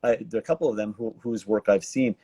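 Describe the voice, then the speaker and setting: A man hosting a casual interview, asking wordy, roundabout questions in a halting way. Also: on the low side, fairly even intonation, dark tone, husky, dry recording. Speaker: talk show host, interviewer.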